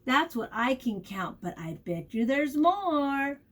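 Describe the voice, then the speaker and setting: A woman with a fairly high, very animated voice speaks as a teacher to small children. She takes her time, stretching out key words. Her manner is expressive and encouraging, inviting her young listeners to answer. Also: takes it slowly. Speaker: preschool teacher reading a picture book aloud. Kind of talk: children's storytime and vocabulary lesson.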